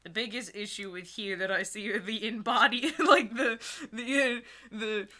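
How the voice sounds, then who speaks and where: Female comedy co-host, bright and forward tone, giggly, casual mock-critical banter. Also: mid-range voice, moderate pace. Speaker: co-host of a comedy video channel. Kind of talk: casual comic commentary, chatting with a friend.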